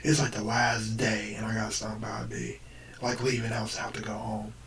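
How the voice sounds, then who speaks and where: A young American man with a deep, damaged voice that drops into breathiness, telling a personal story casually and hesitantly to the camera. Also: monotone, normal speed, creaky, a bit bright. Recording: noisy, distant microphone.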